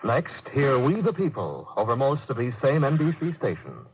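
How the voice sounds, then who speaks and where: A man's voice: mid-range voice, very animated, normal speed, background noise. A man delivering announcements and ad lines in vintage radio style.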